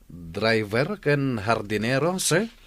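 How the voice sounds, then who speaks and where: An actor playing a cautious, scheming thief who leads, in an Ilocano radio drama, with an Ilocano accent. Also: male voice, medium pitch, very animated, slow, a bit bright, dry recording, heavily acted. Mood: wary.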